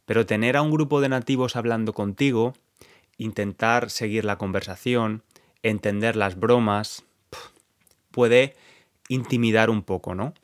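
A young man from Spain with a Spanish accent, hosting a podcast and explaining things clearly and calmly to Spanish learners. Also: on the low side, unhurried. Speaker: podcast host teaching Spanish. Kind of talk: scripted podcast monologue for learners.

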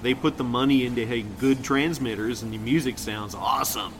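A man's voice: a little fast, fairly bright tone. A middle-aged American man chatting casually and reminiscing as a podcast co-host. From Kansas City, United States. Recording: clearly noisy, rumbling background.

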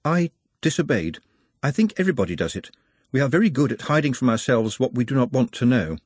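A man voicing an earnest, polite, scholarly orc in a storybook, with formal, measured phrasing. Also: medium pitch, sing-song, darkish tone, no echo, pitched above the performer's own voice. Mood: apologetic, confessional.

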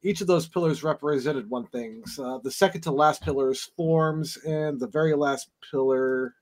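A man's voice: a little high, moderate pace, darkish tone. A man on a casual podcast who muses and speculates, restarting his sentences often. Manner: speculative, rambling with repeated restarts. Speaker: podcast guest. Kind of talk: casual chat.

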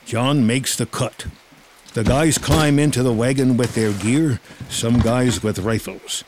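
A man's voice: medium pitch, measured pace, background noise. A man reading scripted screenplay narration as the narrator of a drama.

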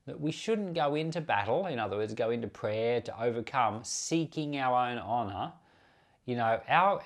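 A man speaking as a pastor on a Bible podcast, explaining scripture in an informal, unscripted way with self-corrections and asides. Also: mid-range voice. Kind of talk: informal Bible commentary, teaching.

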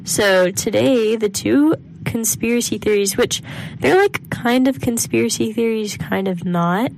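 A woman's voice: on the high side, a little slow, gravelly, darkish tone, slight background noise, poor audio. Congested young woman co-hosting a podcast. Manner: chatty, says like a lot. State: congested, scratchy throat. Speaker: podcast co-host. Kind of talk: casual chat. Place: small studio. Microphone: studio mic.

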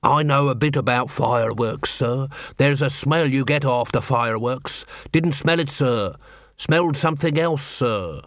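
A man's voice: mid-range voice, a little slow, fairly bright tone, no echo, clean studio sound. A man doing a terse little dwarf constable. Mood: matter-of-fact. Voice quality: terse, clipped.

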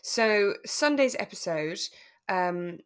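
A woman co-hosting a podcast in relaxed, casual conversation. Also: medium pitch, slow pace, smooth. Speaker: podcast co-host.

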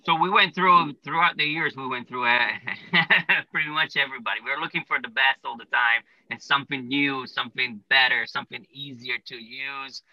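Italian man with a bright, gravelly voice. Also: medium pitch, steady tone, normal speed, few pauses, dry recording, low-quality recording. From Italy. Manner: explains step by step with many "uh" and "you know" fillers. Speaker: plumbing business owner, guest. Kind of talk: casual interview answers.

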